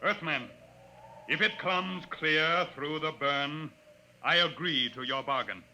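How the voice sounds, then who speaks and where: A man's voice: a little high, slow pace, pushed and bright, a little hiss or hum, full character voice, poor audio. A man playing a proud, menacing alien chief in a pompous, archaic manner, in 1950s science fiction radio style. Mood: haughty, solemn. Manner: pompous, archaic, solemn speech. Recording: old radio broadcast, music behind.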